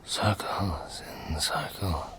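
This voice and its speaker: Delirious wounded stranger, extremely raspy breathy whisper, dragging pace, weak, dazed, fantasy audio drama. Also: male voice, deep voice, sing-song, a bit bright, full character voice. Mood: delirious, trancelike. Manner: halting, fragmented, dazed. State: weak, gravely unwell. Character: half-dead, delirious wounded man muttering scripture.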